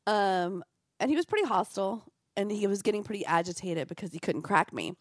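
A woman co-hosting a casual chat, telling stories in a lively way, a bit hoarse. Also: mid-range voice, fairly quick, dry recording, studio quality. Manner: storytelling, lively. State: hoarse from coughing. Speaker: co-host.

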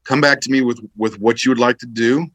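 A man's voice: mid-range voice, fairly even intonation, brisk, fairly bright tone, no echo. A man speaking as an interview guest and military veteran, explaining his views conversationally in an emphatic, repetitive way.

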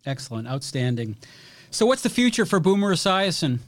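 A man's voice: on the high side, sing-song, fairly quick. A man hosting an interview podcast who asks questions and reads a sponsor message in a polished, enthusiastic, formal way. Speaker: podcast host.

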